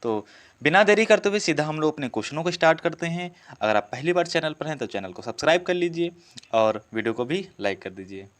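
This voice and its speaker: A man's voice: fairly high pitch, very animated, quick pace. A man teaching through exam practice questions, explaining step by step and repeating key words. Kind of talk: instructional walkthrough of quiz questions.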